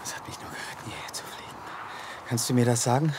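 An actor playing an uneasy, dog-tired, grumbling man in an audio thriller, speaking in a hushed, halting, breathy way with wry asides. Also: medium pitch, expressive, in a whisper, lightly acted. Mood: nervous, hushed.

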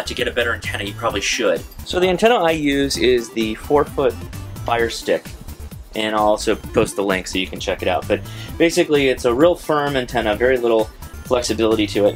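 A young American man giving a casual, informal how-to walkthrough, explaining as he goes. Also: lowish voice. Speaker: how-to presenter. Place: in and beside a parked car outdoors.

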